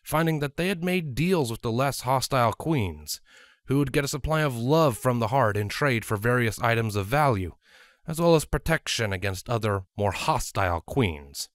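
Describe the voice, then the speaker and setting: Male story narrator, mid-pitched and animated, very dry close sound. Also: normal speed, dry recording. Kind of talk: scripted fan fiction story narration.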